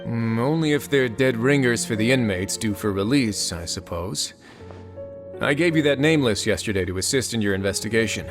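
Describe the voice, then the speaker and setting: A man playing a calm, measured warden. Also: mid-range voice, music in the background, lightly acted. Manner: measured, composed, dry wit. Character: calm authoritative male warden. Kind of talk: video game voice acting.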